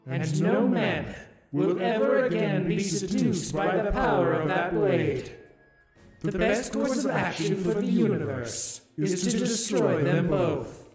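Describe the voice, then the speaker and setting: An ancient, otherworldly ruler from a visual novel, speaks slowly and solemnly with sweeping, theatrical intonation. The grave voice rings with echo over loud music. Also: male voice, sits high. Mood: resolute, fatalistic. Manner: grave, deliberate, theatrical pronouncements. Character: solemn, ancient otherworldly ruler, calmly justifying terrible deeds. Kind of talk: dramatic visual novel voice acting. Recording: echoing voice under loud music.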